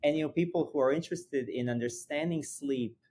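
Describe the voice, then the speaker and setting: A man's voice: a little high, a little fast, darkish tone, slightly rough. A young man from Croatia, a physician and sleep researcher, answering interview questions in an explanatory way, joking and laughing easily.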